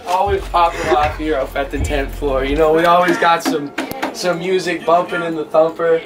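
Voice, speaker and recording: A man's voice: on the high side, little pitch movement, normal speed. A young man, a college student, giving a slangy, laid-back tour of his dorm room. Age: young adult. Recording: distant phone audio with loud music.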